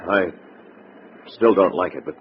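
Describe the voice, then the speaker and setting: An actor playing a surly, suspicious American seller of secrets, gruff and slangy, in a 1950s radio crime drama. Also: male voice, low-pitched, measured pace. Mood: grudging. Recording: muffled noisy old radio recording.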